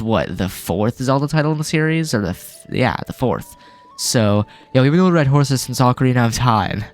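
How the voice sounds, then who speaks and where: A man hosting a let's-play, getting over a cold with a lingering cough, rambling casually with lots of fillers. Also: medium pitch. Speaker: gaming video host.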